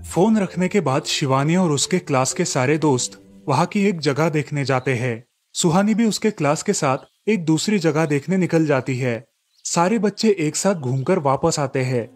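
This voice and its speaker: A man narrating a cartoon story with a steady storytelling delivery. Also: fairly high pitch, moderate pace, faint music behind.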